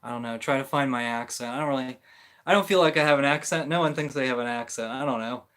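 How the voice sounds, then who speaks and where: Male livestream host, mid-range voice, informal and unscripted, feeling his way through each point with halting rephrasing. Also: mid-range voice, fairly bright tone. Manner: hesitant, halting, often rephrasing mid-sentence. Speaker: livestream host answering viewer comments. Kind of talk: casual, rambling opinion talk on religion.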